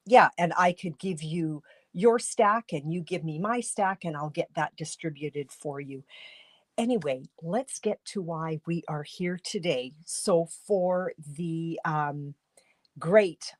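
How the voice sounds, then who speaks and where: Congested woman chatting, slow and dry. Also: low-pitched, no room sound. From Canada. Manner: chatty, rambling, with frequent ums and throat clearing. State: congested with a cold. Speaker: livestream host and stamping demonstrator. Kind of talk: casual livestream chat. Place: home craft studio.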